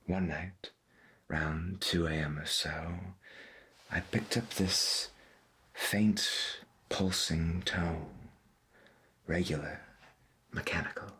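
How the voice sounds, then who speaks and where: A man playing a folksy, gentle veteran pirate radio host, speaking softly and slowly with a breathy voice in an ASMR roleplay. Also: low voice, exaggerated voice. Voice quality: breathy, husky. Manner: slow, deliberate, folksy, half-whispered. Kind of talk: soft-spoken ASMR roleplay as 1990s AM radio.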